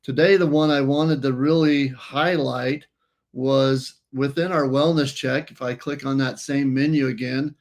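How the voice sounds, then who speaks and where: A man's voice: mid-range voice, fairly even intonation, measured pace. A man presenting a walkthrough of a wellness app, explaining step by step and often restarting phrases. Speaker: company presenter.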